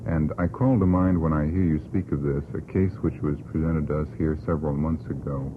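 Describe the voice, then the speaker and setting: A man on a radio panel, speaking in a dry, deliberate way on a noisy vintage broadcast recording. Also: very deep voice, steady tone, phone-quality sound. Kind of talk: unscripted radio panel discussion.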